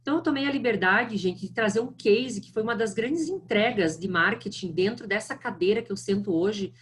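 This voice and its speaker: A middle-aged woman speaks with a strong southern Brazilian accent, her pitch sitting in an ordinary middle range. She gives a guest lecture in a friendly, conversational way, often checking in with her listeners. It comes through a video call. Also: a little fast, a little hiss or hum. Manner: friendly, chatty, frequent "tá?" and "né". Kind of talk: online lecture.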